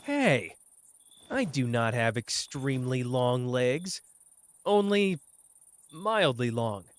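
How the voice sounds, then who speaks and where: Give the listener a cocky young male sorcerer, acted by a man in an intimate ASMR roleplay. He drawls at an unhurried pace with a swooping, animated melody, smug and teasing yet caring. The recording is close and dry. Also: mid-range voice, dry recording. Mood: teasing, warm.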